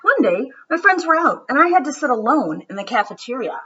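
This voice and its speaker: A woman reading a picture book aloud as a storyteller for children, with expressive narration and deliberate, dramatic phrasing that drops into hushed softness. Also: high-pitched, lo-fi sound, slight background noise.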